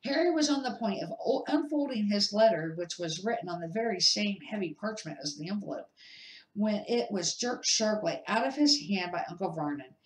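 Middle aged lady reading a kids book out loud, careful amateur read, medium pitch, roomy echo. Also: animated, moderate pace. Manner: careful, stumbling read-aloud. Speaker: amateur storybook reader. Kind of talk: reading a children's novel aloud. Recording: echoey, roomy sound.

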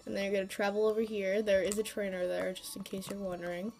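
A woman with a slight cold, sniffling now and then, gives a casual walkthrough of a handheld game. Her voice sits at an ordinary middle pitch, and she rambles with fillers and small asides. Soft game music plays faintly behind her. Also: level tone, quick pace, vocal fry. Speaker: gaming YouTuber.

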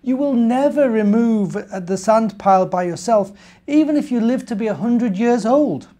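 A man's voice: very high voice, lively intonation, moderate pace, warm tone, pitched above the performer's own voice. A British man voicing a kind, curious young bear cub in a children's picture book, earnest and emphatic. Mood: earnest warning.

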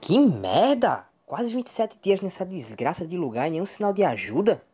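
A woman with a Brazilian accent voicing a grumpy, fed-up stranded cartoon adventurer, husky in tone. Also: on the low side, big pitch swings, normal speed, mellow, no echo.

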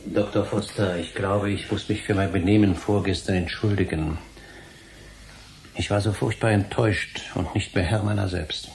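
An actor playing a troubled middle-aged history professor in a German radio play, with formal, intense, emotional delivery and a gravelly voice. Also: male voice, sits low, steady tone, normal speed, darkish tone, a little hiss or hum. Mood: contrite, anxious.

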